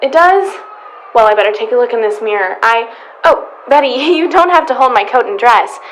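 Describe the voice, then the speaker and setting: A woman's voice: on the high side, animated, normal speed, slight background noise, close to an everyday voice, low-quality recording. A woman playing a genteel, fussy, motherly shopper in radio comedy style. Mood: chatty, pleasant. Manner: motherly, chatty. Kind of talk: old-time radio comedy acting.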